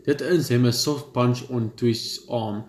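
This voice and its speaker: A man at a middle pitch reads a story game's narration aloud and breaks off into casual chat. He stumbles and restarts words and swears now and then. The room sounds roomy, with a faint hum underneath. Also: a little slow. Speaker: gaming channel host. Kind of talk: reading a visual novel aloud with commentary.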